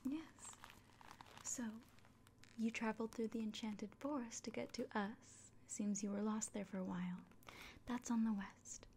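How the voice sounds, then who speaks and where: A woman playing a kindly village innkeeper in a fantasy ASMR roleplay, speaking in a soft, breathy whisper with gentle, hesitant pauses. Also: a little high, steady tone. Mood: earnest, encouraging. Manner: gentle, unhurried, hesitant pauses, fussing over the listener. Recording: close soft recording with clear background noise.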